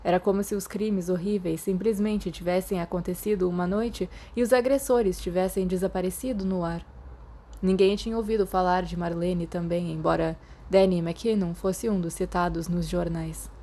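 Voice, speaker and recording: A woman with a Brazilian Portuguese accent reading a translated fan fiction aloud as a podfic, giving the characters light voices within steady narration. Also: on the low side, normal speed. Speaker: podfic reader.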